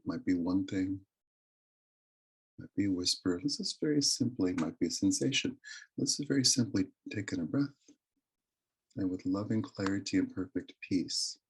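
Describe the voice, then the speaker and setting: A man leading a guided meditation with prayer and affirmations, speaking in a soothing, deliberate, reverent way. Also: low voice, breathy edge, low-quality recording. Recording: live recording.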